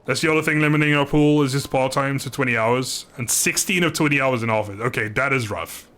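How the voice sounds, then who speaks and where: A man livestreaming a video game, chatting informally and thinking aloud in a halting, casual way. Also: medium pitch, fairly bright tone. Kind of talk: casual livestream chat over gameplay.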